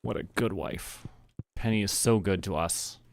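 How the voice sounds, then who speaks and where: A man from Canada giving casual, relaxed commentary as he streams a game, often talking to himself. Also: sits low, measured pace, creaky, no echo. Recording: music behind the voice. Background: music.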